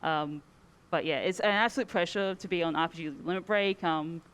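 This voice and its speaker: A woman's voice: lowish voice, brisk, a bit bright, clear, resonant tone. A woman with an Australian accent chatting casually after finishing a speedrun, hesitant and full of "um" and "like". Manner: hesitant, many "um" and "like" fillers. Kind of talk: casual post-run chat.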